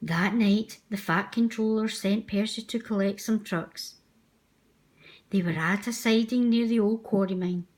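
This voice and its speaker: A woman's voice: medium pitch, unhurried, darkish tone. A woman narrating a children's storybook aloud. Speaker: storybook reader.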